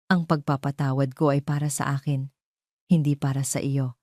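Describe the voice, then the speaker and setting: Narrator as composed female lead, measured and sure of herself, deep register, dry story recording. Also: no room sound. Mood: calm, firm. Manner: calm, measured, firm. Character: composed, self-possessed woman forgiving her former love.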